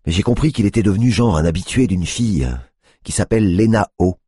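A man's voice: lowish voice, a little fast, mellow, breathy edge, no room sound, studio quality, voice pushed up. A man voicing an agitated, drug-addicted female sex worker who mocks and swears at a detective. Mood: grudging. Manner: mocking, aggressive, swearing.

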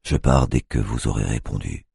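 A man playing a calm, dogged police detective. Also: very deep voice, normal speed, gravelly, slightly rough, no echo, pitched below the performer's own voice, exaggerated voice. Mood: insistent. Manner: calm, insistent questioning. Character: patient, persistent male police detective.

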